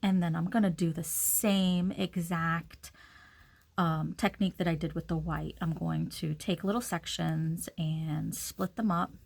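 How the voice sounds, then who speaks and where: A woman's voice: on the low side, fairly even intonation, a little slow, gravelly, darkish tone. A woman giving a casual step-by-step craft demonstration, informally talking through each action as she works. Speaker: craft tutorial instructor.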